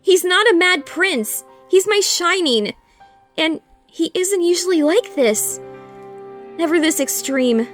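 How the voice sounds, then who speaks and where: A woman plays a worried young royal pony in a cartoon audio drama. She is high-pitched, gentle and earnest, speaking with polite concern over clear background music. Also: animated, slow, heavily acted. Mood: worried, pleading.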